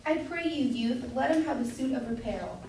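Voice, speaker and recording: Woman as naive chatty wife, high-pitched, insistent, old stage comedy, distant recording with heavy echo. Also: fairly quick, a little mellow, lightly acted. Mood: chatty, gushing. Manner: gushing, insistent, repetitive. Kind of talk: amateur staged reading of Jacobean comedy. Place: indoor room at a college, far from mic. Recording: distant echoey room, faint noise.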